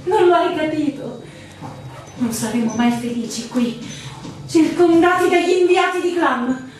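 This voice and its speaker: An actress in a radio drama playing an anguished, devoted lover, impassioned, plaintive and fearful. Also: female voice, high voice, measured pace. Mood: anxious. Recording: echoey, distant, music behind.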